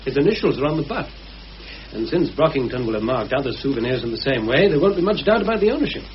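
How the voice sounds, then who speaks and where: An actor playing a calm, calculating British war veteran who lays out a murder plan in a measured, persuasive way, in mid-century radio drama style. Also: male voice, mid-range voice, close to an everyday voice. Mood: cool, deliberate. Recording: muffled, hissy old radio recording.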